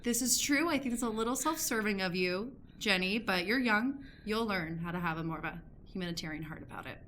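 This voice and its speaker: A woman's voice: mid-range voice, expressive, fairly quick, fairly bright tone, slight background noise, close to an everyday voice. A woman playing an earnest, eccentric, slightly condescending character in an improvised radio play, hesitant and confiding. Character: earnest, eccentric, condescending woman with secret cat alter ego.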